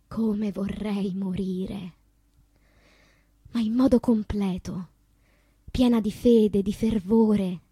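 An actress playing an anguished woman poet in a theatrical, declamatory monologue with long pauses. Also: medium pitch, a little mellow, slightly rough, dry recording. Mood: yearning. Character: anguished woman poet recalling loves, madness and death. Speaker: stage actress.

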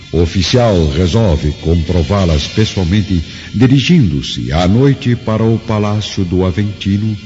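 A man's voice: deep voice, mellow. A man reading scripted dramatic narration in a solemn, literary manner. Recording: dull hall reverb, music behind.

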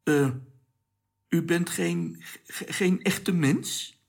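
A man's voice: fairly high pitch, extremely slow. An actor playing a put-upon crewman of a space expedition in a radio drama, hesitant, sardonic and given to complaint.

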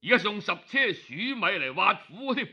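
A man's voice: sits high, very animated, moderate pace, pushed and bright, voice pushed up, full character voice. A man voicing a proud, aggrieved duke with a Cantonese accent, complaining indignantly in an oral historical story. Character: proud, aggrieved duke grumbling at his adviser. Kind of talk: storytelling character voice. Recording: dull, lo-fi audio.

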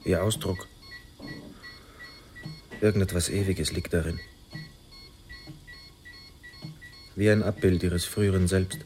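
A man's voice: low-pitched, steady tone, normal speed, darkish tone, soft background music, close to an everyday voice. An actor playing a troubled, skeptical expectant father in a German radio drama, speaking in a weighty, hesitant manner. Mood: pensive.

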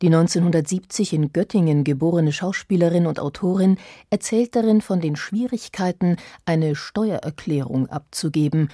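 A woman announcing a radio play in a measured, formal way. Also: on the low side, warm tone, no room sound, studio quality. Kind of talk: scripted announcement.

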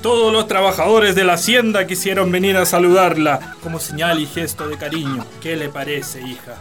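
An actor plays a middle-aged landowner, a grand and affectionate father in a radio drama. His voice is high for a man and full of doting fondness. Music plays clearly behind him. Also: steady tone, moderate pace, close to an everyday voice. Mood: doting, proud.

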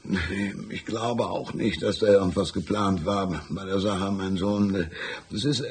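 Tiefer, knarziger, schlauer alter Gesetzeshüter. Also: male voice, low voice, steady tone, exaggerated voice. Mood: probing, calm. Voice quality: gravelly, husky. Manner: drawn-out, patronizing, calls listener "my son". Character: folksy, shrewd, unhurried older small-town lawman. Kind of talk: radio drama acting.

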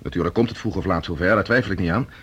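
An actor playing a cautious, sober professor with measured, reasoned delivery in a radio play. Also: male voice, sits low, slightly rough, lo-fi sound. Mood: reasoning. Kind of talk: Dutch radio play dialogue.

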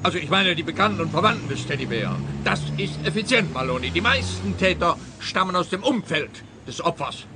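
A man's voice: high voice, expressive, fairly quick, few pauses, heavy background noise. An actor playing a pompous, pedantic policeman who lectures with condescension in a comic radio play. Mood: self-important. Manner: condescending, lecturing, self-important.

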